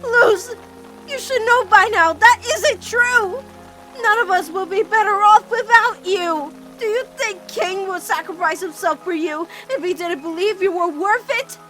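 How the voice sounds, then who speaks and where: A woman's voice: extremely high pitch, a little slow, pushed and bright, faint music behind, full character voice, low-quality recording. A woman voicing a caring, protective teenage girl in a cartoon fan dub, earnest and emphatic as she pleads with her girlfriend. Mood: indignant pleading. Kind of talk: animated-series fan dub drama acting.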